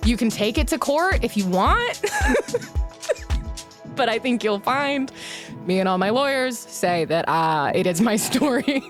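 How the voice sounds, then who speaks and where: Giggly lady at a game table making up her character, slow pace, kinda mock-smug, really expressive. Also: on the high side, soft background music. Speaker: tabletop role-playing player. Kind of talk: casual improvised character talk at a game table.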